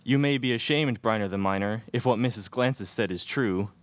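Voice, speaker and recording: A man's voice: lowish voice, brisk, no echo, natural voice. A young man playing an officious Wild West judge in a student radio play, declaiming into a stage microphone. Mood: stern, official. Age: young adult. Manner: declamatory, calling for order. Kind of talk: student radio theatre acting. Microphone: stage microphone on stand.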